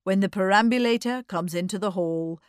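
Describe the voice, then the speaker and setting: A woman voicing a jocular upper-class gentleman, pompous and declamatory, with a drawl. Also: mid-range voice, fairly quick, clear, resonant tone, no room sound, studio quality, close to an everyday voice. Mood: mock solemn.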